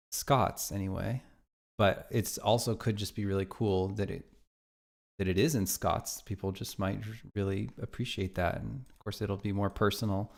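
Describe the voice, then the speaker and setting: A man's voice: lowish voice, vocal fry. A man streaming his game development live, chatting casually, thinking aloud and reacting to viewer chat.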